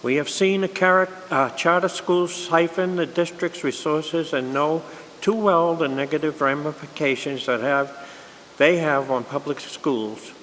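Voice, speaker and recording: Man reading a letter aloud, higher voice. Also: a little slow. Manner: steady formal reading. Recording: reverberant hall with faint hiss.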